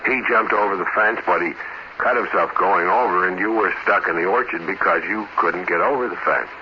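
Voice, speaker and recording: A man acting a calm, sinister alien impostor posing as a husband in a 1950s radio drama, measured and quietly insistent. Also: deep voice, close to an everyday voice. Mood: matter-of-fact. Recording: dull, noisy old radio recording.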